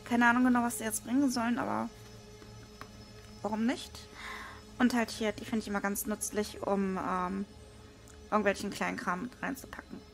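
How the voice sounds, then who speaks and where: A woman with a hoarse voice, casually commenting as she unboxes trading cards at a desk. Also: medium pitch, normal speed, pauses often, soft background music. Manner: relaxed, with frequent hesitation fillers. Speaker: card unboxing video host. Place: indoors at a desk, hands over table. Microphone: small camera-mounted microphone.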